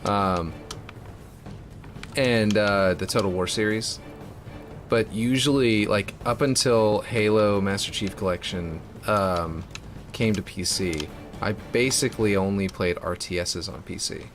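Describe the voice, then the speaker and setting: A man with a fairly deep voice chatting casually on a live stream while building a game map, rambling with many fillers and restarts. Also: unhurried. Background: music.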